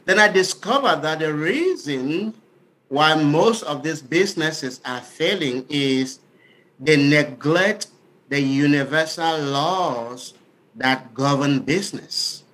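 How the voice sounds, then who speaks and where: Male interview guest from Cameroon with that accent, bright and crisp-edged, instructive and sincere. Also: a little high, lively intonation, slow, poor audio. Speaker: podcast guest, business author. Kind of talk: explanatory interview talk.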